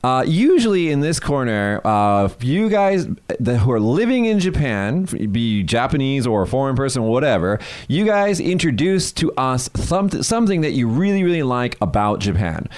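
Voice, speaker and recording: An American man hosting a casual chat show, talking in an animated way with many fillers. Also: medium pitch, normal speed, few pauses. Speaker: show host.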